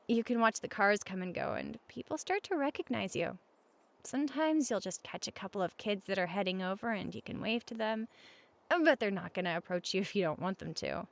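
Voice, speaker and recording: A woman playing a friendly, reassuring roommate in a conversational comfort roleplay, speaking gently and casually at regular volume. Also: a little high, creaky. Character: friendly, reassuring young female roommate offering company.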